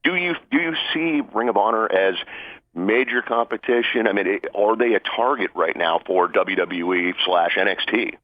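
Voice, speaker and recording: A man's voice: fairly low pitch, normal speed, no echo. A man asking a journalist's question on a press conference call over the telephone. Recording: narrow tinny phone line.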